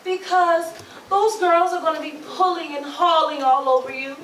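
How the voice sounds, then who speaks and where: A woman's voice: extremely high pitch, fairly even intonation, normal speed, a bit bright, slight background noise. A woman acting a frightened, jealous and bitter young woman on stage, pleading in an anguished way. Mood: fearful pleading. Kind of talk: stage readers theatre acting. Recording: echoey, distant mic, faint music.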